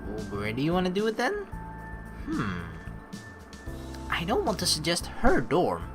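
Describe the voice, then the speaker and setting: A woman's voice: lowish voice, wildly swooping pitch, unhurried. A woman let's player reading game text aloud expressively, mixed with casual commentary. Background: game music.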